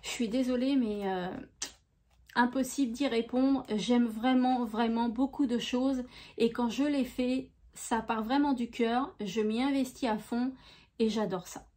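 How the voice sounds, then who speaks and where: Slow-talking high-voiced French woman. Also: steady tone. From France. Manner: slow, gentle and chatty, with many hesitations. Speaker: YouTube crafts channel host. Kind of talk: casual FAQ video answering viewer questions.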